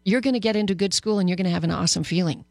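A woman hosting a radio call-in show as a psychologist, giving animated, encouraging advice. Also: lowish voice, rapid-fire, no room sound, soft background music. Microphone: studio microphone.

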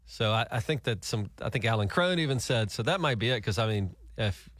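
A man hosting a country radio show, joking and bantering quickly with his co-host. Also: on the low side, expressive, fairly bright tone, dry recording, studio quality. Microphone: studio mic.

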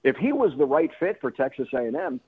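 A man with a fairly high, rough-edged voice gives emphatic sports talk as a radio guest. He circles back and rephrases his points as he goes. The sound is a little dull and lo-fi. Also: sing-song, fast. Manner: emphatic, repeats and rephrases himself.

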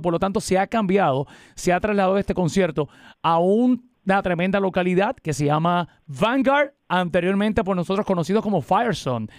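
A man hosting a live radio interview, with a lively announcer delivery and frequent fillers when chatting. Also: high-pitched, no echo, clean studio sound. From Puerto Rico. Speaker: radio host.

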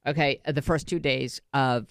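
A woman's voice: rumbling low, steady tone, normal speed, clean tone, no echo, studio quality. A middle-aged woman co-hosting a morning radio show, chatty and wry. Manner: chatty, laughs often, wry. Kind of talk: casual radio banter. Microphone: studio microphone.

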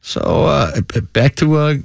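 A man's voice: on the high side, animated, takes it slowly, vocal fry, no echo, clean studio sound. A man co-hosting a talk radio show, joking his way through crime news with rhetorical asides. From Ireland. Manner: wisecracking, rhetorical "right?" and "okay?".

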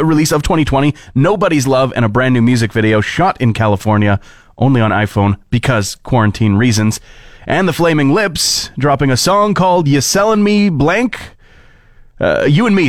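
A man's voice: medium pitch, no room sound, studio quality. A man in his thirties with a Canadian accent, hosting an entertainment podcast in a wry, conversational style. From Alberta, Canada. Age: 30s. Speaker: podcast host. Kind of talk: casual entertainment news and chat.

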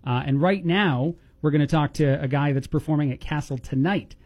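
A man's voice: a little high, brisk, darkish tone, dry recording. A man from New Jersey hosting a radio talk show, upbeat and laughing along with guests. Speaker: radio host.

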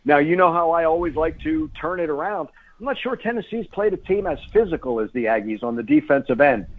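A man's voice: on the high side, expressive, fairly quick. A man speaking over a phone line as a guest sports announcer, giving casual, chatty and emphatic analysis in a radio interview. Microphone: phone call.

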